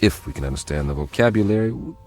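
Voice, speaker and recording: An actor playing a world-weary code breaker who narrates and talks with a dry, wry manner in a radio drama. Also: male voice, sits low, animated, fast talker, a little mellow, faint music behind.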